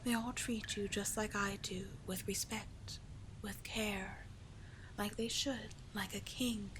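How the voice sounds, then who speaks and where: Woman acting a seductive sea witch, breathy whisper, hypnotic and coaxing, tenderly possessive, ASMR roleplay, clearly noisy ambience. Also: medium pitch, steady tone, slow pace, close to an everyday voice. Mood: hypnotic, persuasive.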